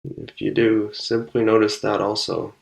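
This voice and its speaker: A man leading a guided meditation, speaking calmly and unhurriedly with long pauses between short instructions. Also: fairly low pitch, vocal fry, poor audio.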